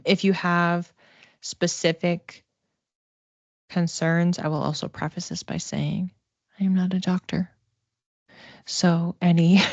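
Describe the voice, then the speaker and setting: A woman giving a casual live psychic reading, speaking conversationally and tentatively, thinking aloud with pauses as she explains. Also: sits low, creaky, a little mellow, dry recording, lo-fi sound.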